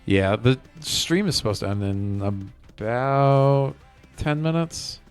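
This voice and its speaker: A man streaming a video game, chatting casually and rambling as he thinks out loud. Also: medium pitch, lively intonation, takes it slowly, clean tone. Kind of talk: casual live gameplay commentary. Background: game music.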